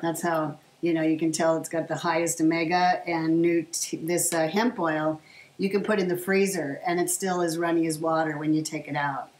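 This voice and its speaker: A woman's voice: low voice, steady tone, moderate pace. A middle-aged woman chatting casually to the camera while she cooks, rambling with plenty of fillers. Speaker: vlogger sharing her diet. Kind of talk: casual chat while cooking. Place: home kitchen, indoors. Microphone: camera on the counter at a short distance. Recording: echoey kitchen with faint hiss. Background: food sizzling in a pan.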